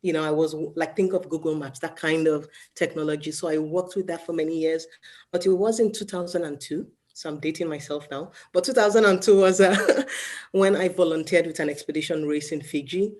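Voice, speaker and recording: A woman from Nigeria answering interview questions in a relaxed way, often saying "you know". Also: low-pitched, fairly quick. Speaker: guest.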